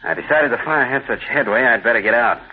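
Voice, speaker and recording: A man's voice: mid-range voice, fast, slightly rough, close to an everyday voice. A man playing a brazen, hard-bitten hired criminal in an old-time radio crime drama, with a cocky, needling and callous manner. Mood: callous, boastful. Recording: muffled vintage radio recording.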